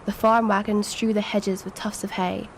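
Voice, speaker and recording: A woman playing a brooding, homesick country schoolgirl, plain and serious, with subdued, measured delivery in a poetic audio drama. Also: medium pitch, little pitch movement, a little hiss or hum, natural voice, rough recording. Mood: wistful.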